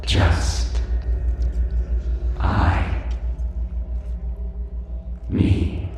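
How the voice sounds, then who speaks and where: A man voicing an ancient cosmic sky entity that speaks as many, in a slow, solemn, commanding manner, with a layered echoing effect. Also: over music. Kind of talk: fantasy audio drama. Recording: far-off cavernous reverb, heavy rumble.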